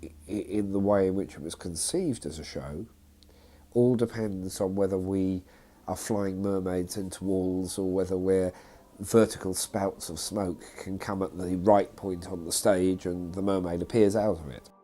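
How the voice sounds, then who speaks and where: A man's voice: deep voice, steady tone, normal speed, dark tone. A man speaking off the cuff in an interview as a theatre company director, with faint noise behind him. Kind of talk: unscripted interview.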